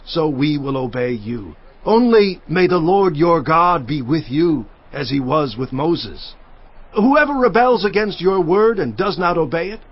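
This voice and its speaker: An actor playing a loyal, resolute ancient Israelite pledging obedience in a dramatized audio Bible. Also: male voice, fairly high pitch. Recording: slightly dull audio.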